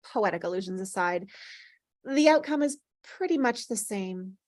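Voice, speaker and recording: A woman's voice: mid-range voice, expressive, normal speed, a little mellow, no room sound, clean studio sound, natural voice. Woman acting wry, melancholy older lady ghost. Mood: needling. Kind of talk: acted table read of a TV script.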